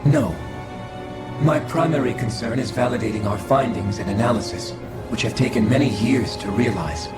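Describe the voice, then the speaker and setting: A man voicing a coldly logical, formal alien scholar in a video game cutscene, speaking in a measured, clinical way. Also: medium pitch, natural voice. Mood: calm, formal. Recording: echoing voice over game music.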